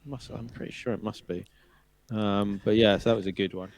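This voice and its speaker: Male host, lowish voice, easygoing and rambling, lots of restarts. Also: steady tone, fairly quick, no room sound. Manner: rambling, with many fillers and restarts. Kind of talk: casual chat.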